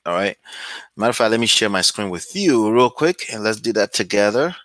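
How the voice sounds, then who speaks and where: A man's voice: fairly low pitch, lively intonation, fairly quick, a bit bright, dry recording. A man from Nigeria with a Nigerian English accent, hosting a casual real estate video and often checking in with "okay?". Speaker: real estate channel host. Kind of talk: casual talk to camera with screen share.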